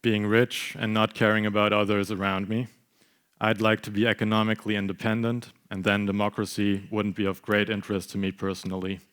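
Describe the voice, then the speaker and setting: A man's voice: fairly low pitch, little pitch movement, brisk, a bit bright, clear, resonant tone, close to an everyday voice. A young man reading the part of a detached, sceptical Lebanese outsider in a staged play reading. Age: young adult.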